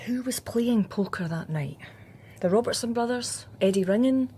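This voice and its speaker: An actress playing an earnest, persistent junior detective, questioning insistently in a radio drama. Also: female voice, on the high side, moderate pace, warm tone, slightly rough.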